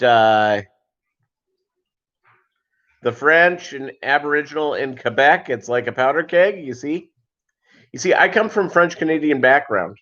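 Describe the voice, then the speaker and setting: A man hosting a casual live chat, rambling along with joking, deadpan asides. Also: mid-range voice. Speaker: host of a live fishkeeping chat show. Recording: dull lo-fi livestream audio.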